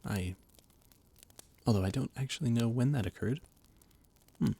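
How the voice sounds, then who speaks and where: A man's voice: mid-range voice, moderate pace, dark tone, husky, no room sound. A young man playing an anxious, loyal royal advisor who stammers and speaks formally. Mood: exasperated. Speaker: voice actor in an audio roleplay. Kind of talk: scripted audio roleplay.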